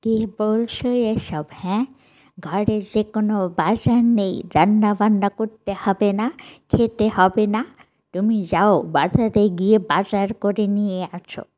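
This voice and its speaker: A woman's voice: medium pitch, steady tone, unhurried, darkish tone, natural voice. A woman playing a stern older village mother in a cartoon, questioning and scolding. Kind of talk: cartoon voice acting.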